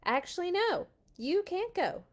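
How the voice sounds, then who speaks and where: A pouting, bratty king of Egypt from a children's storybook, put on by a woman reading aloud. He is stubborn and emphatic, with a whine that often jumps up high. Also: very animated, measured pace, heavily acted. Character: petulant, stubborn male Pharaoh of Egypt.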